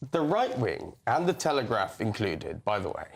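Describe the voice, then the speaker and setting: A man's voice: lowish voice, big pitch swings, normal speed, gravelly, slight background noise. A man on a TV debate panel, arguing back and restarting when he is cut off. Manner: argumentative, stammering restarts when interrupted. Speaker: panel guest.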